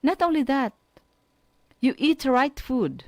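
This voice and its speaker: Expressive Filipino-accented woman teaching on radio. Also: sits high, dry recording. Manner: deliberate, instructive, emphatic with repeated phrases. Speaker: teacher on a school-on-the-air radio program. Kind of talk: educational radio lecture addressed to students.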